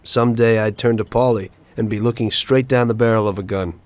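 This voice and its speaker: Actor as weary, disillusioned American mafia gangster. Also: male voice, lowish voice, fairly even intonation, a little fast, natural voice. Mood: weary, grave. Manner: reflective, plain-spoken, cynical. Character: weary, disillusioned male mafia gangster recounting his past. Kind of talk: dramatic game cutscene narration.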